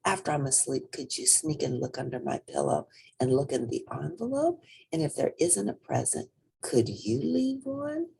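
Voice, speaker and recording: A woman's voice: deep voice, very animated, moderate pace, creaky, darkish tone, breathy edge, heavily acted. A woman voicing an earnest, curious little girl rabbit in a storybook, with eager, childlike pleading. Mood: coaxing.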